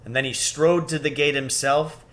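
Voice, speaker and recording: A man's voice: fairly high pitch, steady tone, moderate pace, a bit bright. A man narrating a children's storybook as a scripted read-aloud. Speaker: storybook reader.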